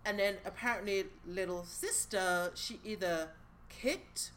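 A woman who looks after children, telling a lively story to a group and acting out the kids. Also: medium pitch, unhurried, a little hiss or hum. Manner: lively, acts out children's voices. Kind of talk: casual storytelling.

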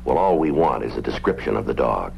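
An actor playing a dry, unflappable police sergeant in an old-time radio drama, speaking in a matter-of-fact, clipped way. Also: male voice, rumbling low, very animated, brisk, vocal fry, darkish tone, a little hiss or hum, full character voice. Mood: dry, patient. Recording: muffled old radio recording with music.

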